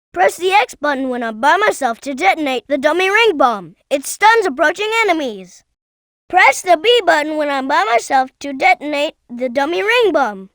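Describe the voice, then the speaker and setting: An eager young fox boy from a video game, with an extremely high, childlike voice. The delivery is animated yet earnest and instructive, each phrase clearly spoken. The sound is dry and close, like a studio game recording. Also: dry recording, heavily acted. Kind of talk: scripted video game tutorial voice acting.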